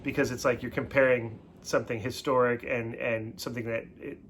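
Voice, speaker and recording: A man in his forties talks easily as a channel co-host, his voice sitting at an ordinary middle pitch. He wanders through explanations, stopping and restarting as he goes. A faint hiss of background noise runs under the recording. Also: flat delivery, fairly quick, a bit bright. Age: 40s. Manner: rambling, self-interrupting explanations. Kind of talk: casual chat.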